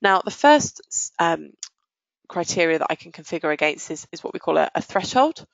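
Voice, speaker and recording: Woman, software demo presenter, gravelly fry, conversational walkthrough, hesitant pauses, dry muffled lo-fi recording. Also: lowish voice, very animated, no room sound.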